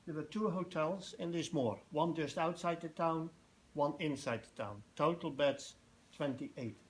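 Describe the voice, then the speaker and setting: An older man with a Dutch accent giving an informal public talk in a halting, anecdotal way. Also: fairly high pitch. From Netherlands. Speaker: festival organiser giving a presentation. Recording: noticeable background noise, live talk.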